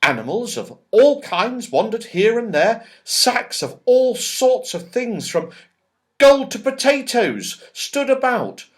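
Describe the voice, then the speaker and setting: A man reading a children's storybook aloud, expressive and lively, with voices for the characters. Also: very high-pitched, takes it slowly. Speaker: audiobook reader.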